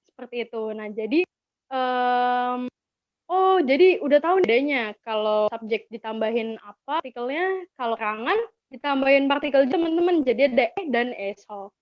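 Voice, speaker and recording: High-voiced Indonesian woman teaching informally. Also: lively intonation, moderate pace, no echo. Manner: chatty, encouraging, repeats key words for learners. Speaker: webinar speaker teaching languages. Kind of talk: informal language lesson. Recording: dull lo-fi webinar audio.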